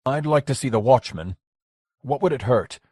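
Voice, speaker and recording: A man's voice: medium pitch, lively intonation, normal speed, warm tone, husky, no echo, pitched above the performer's own voice. An earnest, stubborn costumed hero , voiced by a man narrating an audiobook, speaks plainly and firmly. The recording is dry and close.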